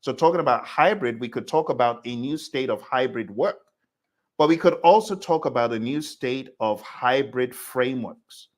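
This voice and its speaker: A man's voice: medium pitch, normal speed. A man teaching a live online class, talking in an emphatic, conversational way and addressing listeners directly. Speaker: instructor hosting a live class. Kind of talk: live online lecture with audience questions.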